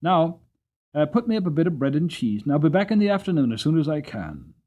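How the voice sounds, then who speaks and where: A man's voice: a little high, big pitch swings, fast talker, lo-fi sound, pitched above the performer's own voice. Man playing a hearty, kindly Victorian cabman. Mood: brisk, cheery. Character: cheerful, kindly Victorian London cab driver. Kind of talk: dramatic storybook reading.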